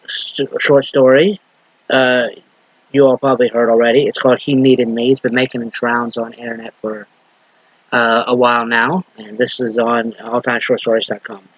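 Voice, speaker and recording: A man, a minister, giving a sermon and reading a short story aloud, unhurried and halting, with a slight lingering cough. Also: medium pitch, flat delivery, no echo, muffled recording. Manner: unhurried, halting with fillers and restarts.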